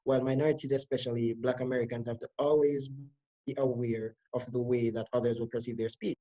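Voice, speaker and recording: A man speaking as a teacher on a scripted panel discussion, measured and read from a script. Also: medium pitch, little pitch movement, mellow, rough recording.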